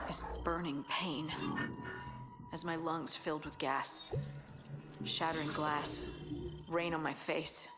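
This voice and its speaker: Haunted, low-voiced female scientist. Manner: grave, intense, dramatic. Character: haunted, defiant female scientist with grim visions. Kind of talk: video game cinematic acting.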